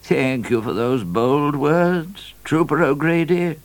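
A man voicing a fretful, gullible colonial governor in a storybook, with a pompous, drawn-out delivery. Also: on the high side, pitched above the performer's own voice. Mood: grateful cheer.

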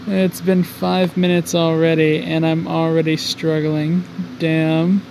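A man's voice: level tone, takes it slowly, gravelly, darkish tone, rough recording. A young man hosting a casual solo podcast from his garage, rambling, mocking himself and swearing often. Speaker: podcast host. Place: home garage. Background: faint highway traffic.

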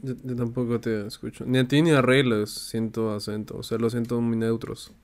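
A man's voice: mid-range voice, level tone, normal speed, darkish tone. A man from Peru with a mixed Peruvian-Chilean Spanish accent, chatting casually and laid-back with friends. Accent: mixed Peruvian Spanish with Chilean touches. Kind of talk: casual chat.

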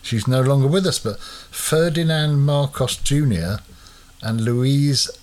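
Male host, casual and chatty, hesitant fillers, mid-pitched voice. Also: takes it slowly, darkish tone. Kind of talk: casual chat.

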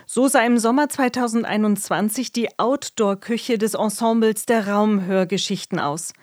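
A woman's voice: fairly high pitch, darkish tone. A woman hosting a podcast, reading a scripted introduction. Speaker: podcast host.

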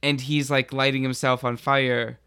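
A man's voice: mid-range voice, level tone, normal speed, pushed and bright, smooth, no room sound. A man on a podcast panel talking casually about films in loose, informal phrasing. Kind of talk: casual film discussion.

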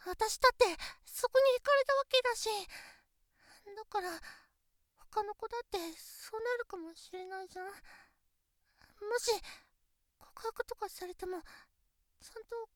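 A woman playing a shy teenage girlfriend, very high voice. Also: moderate pace, long pauses, no room sound, studio quality. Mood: teasing worry, then pleading. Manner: soft, intimate, pillow-talk delivery. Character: shy, insecure teenage girlfriend, female classmate. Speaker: voice actress. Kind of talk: Japanese situation voice drama. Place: studio.

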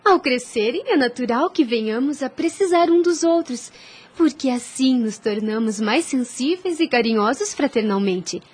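Radio drama role: pious young woman, consoling elder sister, highish soft-grained voice, formal and earnest. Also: expressive, moderate pace, husky. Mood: gently instructive. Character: gentle, pious young street singer, caring elder sister.

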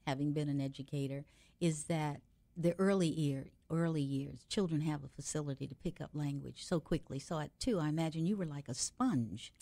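Low, mellow-voiced woman radio host. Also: moderate pace, dry recording. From United States. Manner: chatty, reflective, adds personal anecdotes. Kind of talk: conversational interview.

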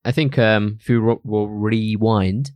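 A young man hosting a casual chat, relaxed and hesitant, often repeating words. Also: on the low side, measured pace, no echo, clean studio sound. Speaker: podcast host asking questions.

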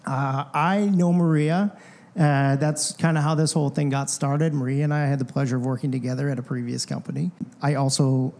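A man co-hosting a podcast, friendly and easygoing as he brings in his guests, with plenty of "uh" and "um". His voice is dark and mellow, and his pitch sits in the middle. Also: steady tone, a little fast. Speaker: podcast co-host. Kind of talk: casual podcast interview.

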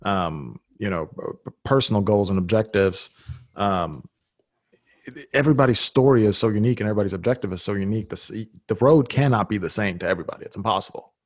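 Man, podcast host, native Serbo-Croatian speaker, lowish voice, dry close sound, earnest, restarts his sentences. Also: expressive, quick pace, dry recording. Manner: earnest, thinks aloud with restarts. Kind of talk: casual conversation.

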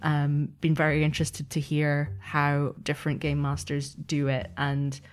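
A woman's voice: low voice, monotone, unhurried. A woman answering as a podcast guest and game master in a conversational, thoughtful, explanatory way.

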